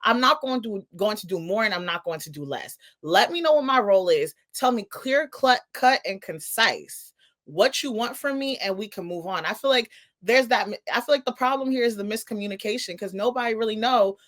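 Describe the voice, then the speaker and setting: A woman speaking at an average pitch as a podcast co-host. She lays out her view casually but emphatically, working through it step by step and repeating key points to make them clear. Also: animated, a little fast, fairly bright tone. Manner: explanatory, emphatic, restates points for clarity. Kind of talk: casual opinion discussion.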